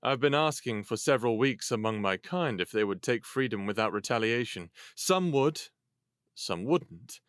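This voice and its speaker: A man playing a level-headed, candid older envoy in an audio drama, calm and plain-spoken. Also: mid-range voice, moderate pace, smooth, no echo, studio quality, close to an everyday voice. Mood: even, candid. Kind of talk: dramatic audio fiction acting.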